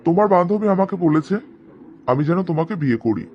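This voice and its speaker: A man's voice: fairly high pitch, animated, fast talker, darkish tone, highs cut off, a little hiss or hum, close to an everyday voice. A man playing a proud young rich bridegroom in a cartoon drama, speaking coldly and bluntly. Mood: cold, scornful.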